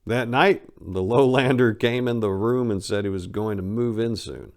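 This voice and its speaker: Male memoir narrator with a fairly low voice, dramatic reading style, punching key words and letting asides fall away. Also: moderate pace.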